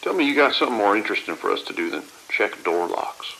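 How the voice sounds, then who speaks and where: Low male voice, bored patrol officer played by a man, bright edge, gravelly, clipped, dry, audio drama, faint hiss. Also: very animated, fast talker, exaggerated voice, poor audio. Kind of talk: audio drama radio report. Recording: faint hiss and rain-like noise.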